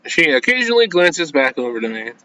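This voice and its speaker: A man hosting a gaming video, reading a visual novel aloud and breaking off into flustered, halting, slangy reactions. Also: fairly high pitch, sing-song, sharp tone, poor audio. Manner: flustered, halting, self-censoring with casual slang.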